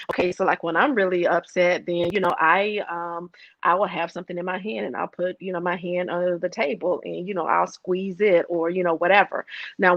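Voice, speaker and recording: Woman guest, soft-spoken and halting, low-ish voice, dull lo-fi recording. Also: no room sound. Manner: hesitant, many fillers and restarts. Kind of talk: conversational interview.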